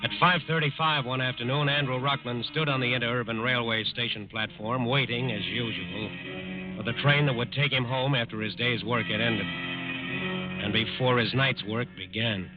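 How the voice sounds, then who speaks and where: A man's voice: medium pitch, fairly even intonation, normal speed, music in the background, close to an everyday voice. A man acting as the grave narrator of a 1940s radio crime drama. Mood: solemn, ironic. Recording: muffled old radio recording.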